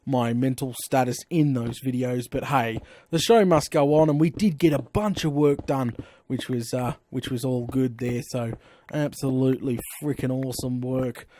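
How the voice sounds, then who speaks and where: An Australian man chatting casually during a live stream of his game, rambling through stories with lively slang exclamations. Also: mid-range voice, moderate pace, slight background noise. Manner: chatty, animated storytelling with frequent slang exclamations. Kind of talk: rambling casual chat while playing.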